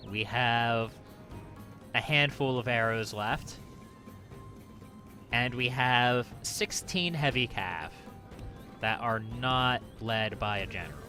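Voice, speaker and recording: A man's voice: medium pitch, animated, slow, pauses often, pushed and bright, soft background music. A man giving casual let's play commentary on a video game, thinking aloud in a wry, resigned way. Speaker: gaming channel host.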